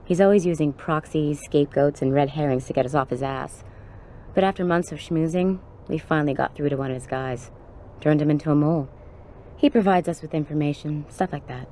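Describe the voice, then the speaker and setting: Actress as low, dark-voiced streetwise policewoman. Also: a little fast, slight background noise, natural voice. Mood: confiding, businesslike. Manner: blunt, informal, slangy. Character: tough, streetwise woman police officer briefing an operative. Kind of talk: video game cutscene dialogue acting.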